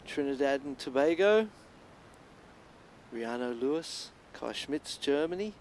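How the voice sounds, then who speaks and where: A man's voice: mid-range voice, animated, a little slow, a little mellow, a little hiss or hum, rough recording. A man calling a surfing contest live, chatty and reflective between the waves. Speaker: surf contest commentator. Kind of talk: live sports commentary.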